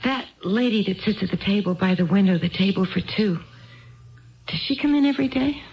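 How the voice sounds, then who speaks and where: A woman's voice: medium pitch, a little fast, close to an everyday voice. An actress playing an anxious, indecisive married woman in a 1970s radio drama, hesitant and rambling as she talks. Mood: confiding, chatty. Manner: hesitant, rambling, self-doubting. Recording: dull, band-limited old radio broadcast.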